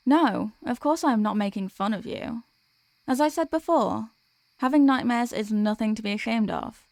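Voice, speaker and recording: A woman playing a gentle, protective sleep paralysis demon in an ASMR comfort roleplay, speaking in a soothing, tender, reassuring way. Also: fairly high pitch, normal speed, gravelly, dry recording.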